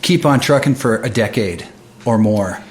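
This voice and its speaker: A man's voice: fairly low pitch, animated, moderate pace, a little mellow. A man who is a singer-songwriter hosting a podcast, chatting casually and offering short, supportive replies. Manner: supportive, brief affirmations. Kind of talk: casual interview chat.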